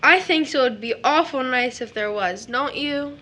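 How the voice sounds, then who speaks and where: A woman's voice: sits high, normal speed, pushed and bright. A woman playing a sweet, eager little boy who speaks in childlike, wondering questions in an audio drama. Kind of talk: stage drama audio acting.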